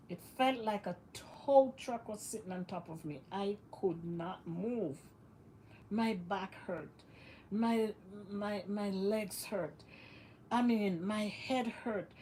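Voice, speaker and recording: Woman vlogger, slow and nasal, mid-pitched, faint hiss behind. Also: mellow, poor audio. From West Indies. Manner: repeats phrases for emphasis. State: congested, nasal from illness. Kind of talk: casual monologue to camera.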